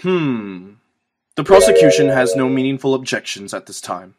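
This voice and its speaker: A man's voice: mid-range voice, big pitch swings, moderate pace, over a noisy crowd, close to an everyday voice. A man voicing an aloof, restrained prosecutor who speaks formally and curtly in an amateur fan dub. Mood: cool. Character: cool, aloof male prosecutor, drily curt. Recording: loud music behind the voice.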